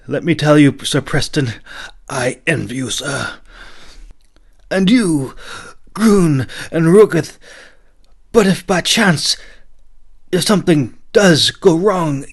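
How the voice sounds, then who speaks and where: A man's voice: on the high side, lively intonation, slow pace, pauses often, voice pushed up, full character voice. A man voicing an over-eager, nervous knight in a fantasy audiobook. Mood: mock solemn. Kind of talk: audiobook character voice.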